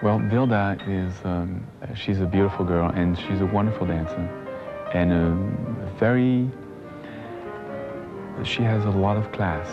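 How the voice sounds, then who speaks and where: A man giving unscripted interview remarks, halting as he searches for words. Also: low-pitched. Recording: dull sound with music behind.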